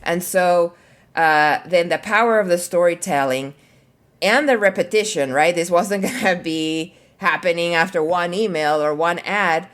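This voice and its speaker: A woman's voice: fairly low pitch, measured pace, fairly bright tone. A middle-aged woman from El Salvador, a marketing leader, answering podcast interview questions in an earnest, reflective way with frequent fillers.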